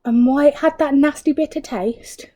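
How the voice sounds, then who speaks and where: A woman's voice: high-pitched, moderate pace, dark tone, pitched above the performer's own voice, natural voice. A woman voicing a spiteful, dramatic old wife in a storybook, exclaiming and gloating. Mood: smug. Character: spiteful, dramatic old wife who plays nasty tricks.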